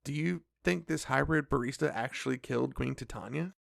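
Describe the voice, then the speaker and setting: A courteous cafe owner, heavily creaky voice. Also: male voice, mid-range voice, a little fast, no echo, studio quality. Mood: polite, curious. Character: polite, earnest cafe owner in a magical world. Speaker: voice actor in a fiction podcast. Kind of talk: audio drama dialogue.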